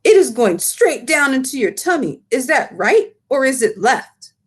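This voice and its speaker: A woman voicing a big, friendly old male giant, excitable and indignant, with mangled grammar and invented words, in a storybook read-aloud. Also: high-pitched, unhurried, husky, pitched above the performer's own voice, full character voice. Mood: explaining.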